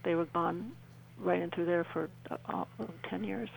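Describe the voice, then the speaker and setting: Creaky-voiced middle-aged woman, even intonation. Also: on the low side, normal speed, poor audio. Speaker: interview guest. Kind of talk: earnest explanatory radio interview.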